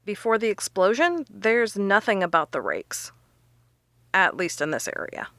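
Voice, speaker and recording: Sharp researcher lady, bright voice, explains stuff with punch, audio drama, really dry sound. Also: medium pitch, very animated, unhurried, dry recording, heavily acted. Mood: explaining, intent. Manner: emphatic, explanatory.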